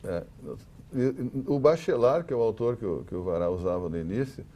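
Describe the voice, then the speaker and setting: A man with a Brazilian accent speaking as an academic guest on a discussion panel, explaining haltingly and often repeating words. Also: mid-range voice, dark tone.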